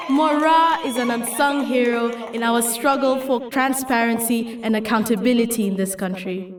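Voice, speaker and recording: A woman's voice: a little high, roomy sound, recorded from a distance. A woman playing an earnest, idealistic young Kenyan activist in a dramatic motion comic, explaining and persuading. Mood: impassioned.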